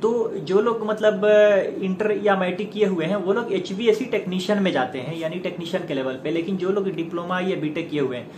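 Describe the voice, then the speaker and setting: A man in his thirties speaks in a slightly high voice, explaining things informally as an online presenter. His phrasing is halting, with many filler pauses. The lapel-mic recording is echoey, with clear background noise. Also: darkish tone. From India. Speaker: YouTube presenter giving career advice. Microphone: clip-on lapel mic.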